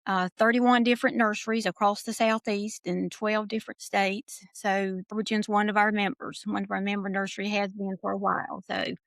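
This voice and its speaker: A middle-aged Southern woman from Georgia, talking casually on a podcast. She tells homespun stories in an easy, folksy manner, at a middle pitch. The recording is dry and close. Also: little pitch movement, moderate pace, gravelly, few pauses, fairly bright tone, no echo. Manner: folksy storytelling. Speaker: podcast guest, nursery researcher. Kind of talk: casual chat.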